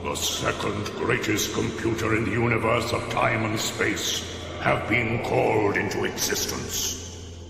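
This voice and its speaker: An actor playing a grand, pompous supercomputer with portentous delivery in a radio comedy. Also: male voice, fairly low pitch, steady tone, measured pace, vocal fry, loud music behind the voice. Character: grand, ponderous, self-important male supercomputer. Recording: echoing hall effect.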